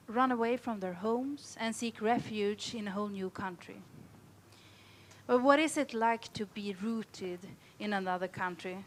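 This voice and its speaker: Higher-voiced woman hosting a seminar. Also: a little hiss or hum. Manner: clear, scripted introductions. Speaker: seminar host. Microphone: video call.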